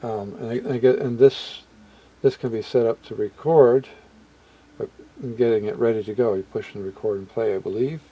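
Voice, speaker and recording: A man presenting a hobby video in a casual, unscripted way, halting as he muses aloud and often trailing off mid-thought. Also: medium pitch, fairly even intonation, mellow, slightly rough, rough recording. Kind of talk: casual unscripted product walkthrough.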